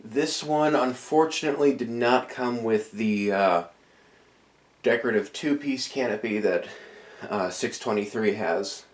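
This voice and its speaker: A man's voice: medium pitch, unhurried. A man casually showing off a ceiling fan in his collection, talking in a rambling, hesitant way, sounding a bit nasal and tired after dental work. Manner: rambling, hesitant, with many restarts. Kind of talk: casual show-and-tell vlog. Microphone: camera on a tripod.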